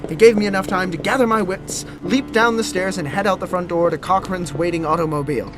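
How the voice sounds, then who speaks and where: An actor playing a pompous, earnest gentleman decorator, telling his gothic comedy tale in a theatrical, melodramatic manner. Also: high voice, a little fast, over music, natural voice. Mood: tense storytelling. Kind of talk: theatrical first-person gothic comedy narration.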